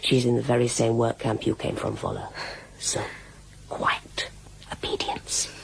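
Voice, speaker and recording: Very deep-voiced, creaky, stern housekeeper. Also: female voice, very animated, a little slow, in a whisper, full character voice. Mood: stern, commanding. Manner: curt, commanding, menacing. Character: stern domineering housekeeper in charge of Nazi-era servants. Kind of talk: radio drama acting.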